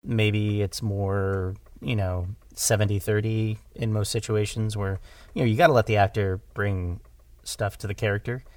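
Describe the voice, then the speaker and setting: A man talking casually as a podcast guest about his craft as a director, halting and animated as he thinks aloud. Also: on the low side, dry recording. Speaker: audio drama director, interview guest.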